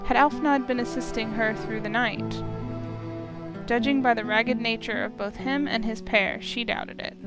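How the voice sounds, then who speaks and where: A woman with a high-pitched voice reads scripted narration from a visual novel. The delivery is storytelling prose read aloud. Game music and rainfall run clearly behind the voice. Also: fairly even intonation, moderate pace. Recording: loud music and rain behind.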